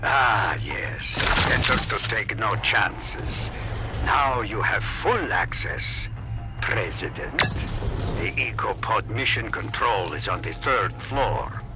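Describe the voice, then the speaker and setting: A man playing an eccentric, scheming old scientist in a video game, with halting, theatrical delivery and florid wording. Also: fairly low pitch, animated, music in the background. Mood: calm, sly. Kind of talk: video game cutscene acting.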